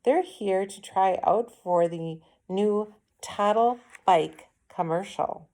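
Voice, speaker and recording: A woman's voice: medium pitch, slow pace, dark tone. A friendly female secretary from a children's story, as a woman narrator reads her. She is helpful and explains things in simple, everyday speech.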